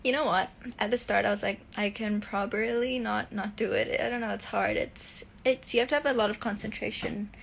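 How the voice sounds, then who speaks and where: A woman's voice: mid-range voice, steady tone, gravelly, slight background noise. A young actress casually answering fan comments on a phone live stream from home, stumbling through restarts as she talks. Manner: reads comments softly, answers with halting restarts.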